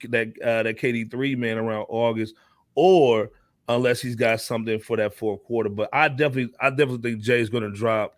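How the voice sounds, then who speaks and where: A man's voice: mid-range voice, steady tone, a little fast, no room sound. An American man hosting a casual hip hop discussion, speaking in slang with emphatic repetition. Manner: slangy, emphatic, repeats himself. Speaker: podcast host.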